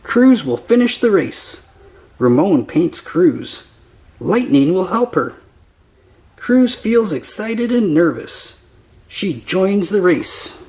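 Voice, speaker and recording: A man reading a children's picture book aloud as narrator, with simple, deliberate phrasing for young listeners. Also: high-pitched, big pitch swings, darkish tone. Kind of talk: slow scripted children's story narration.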